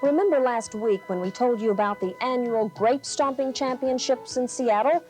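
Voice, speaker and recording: A woman's voice: a little high, normal speed, a little mellow. A woman reading local television news as an anchor, in a newscast style. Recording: faint music, 1980s TV broadcast.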